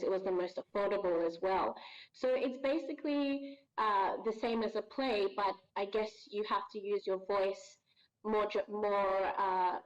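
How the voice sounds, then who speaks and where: A woman from Ghana, a voice actor guest on a podcast, talking in a friendly, thoughtful way and laughing easily. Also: medium pitch. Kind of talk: casual podcast interview. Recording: dull lo-fi audio.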